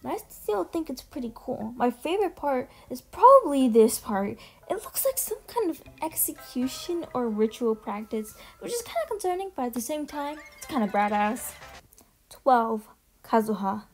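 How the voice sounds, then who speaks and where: A woman giving casual, chatty commentary as a video host, informal and quick to swear. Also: high voice, big pitch swings. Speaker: video host ranking game character demos. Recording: music behind the voice. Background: background music.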